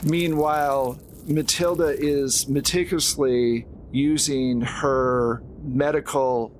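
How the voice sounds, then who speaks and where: A man's voice: medium pitch, steady tone, slow, noisy background. A man running a horror tabletop roleplaying game, narrating scenes descriptively and conversationally. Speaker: game master.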